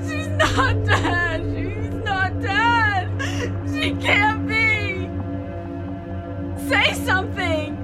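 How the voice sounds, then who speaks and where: A woman acting as a distraught young woman in a horror audio drama. Her very high voice pleads in panicked denial, swinging widely in pitch, with music behind her. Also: big pitch swings, extremely slow, full character voice. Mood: sobbing, desperate. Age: young adult.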